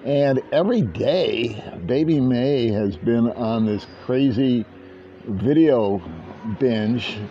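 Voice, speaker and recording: A man's voice: medium pitch, slow pace, slight background noise. Brief: older male vlogger, rambling chatty delivery, dull lo-fi camera mic outdoors. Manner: rambling, chatty, self-deprecating. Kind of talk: casual vlog chat. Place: outdoor beach resort. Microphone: handheld small camera.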